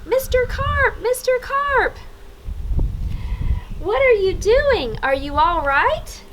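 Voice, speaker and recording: A woman's voice: very high voice, animated, slow pace, a bit bright, a little hiss or hum, voice pushed up, heavily acted. A woman voicing an anxious, earnest young boy in a storybook, pleading and urgent. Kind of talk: storybook character voice.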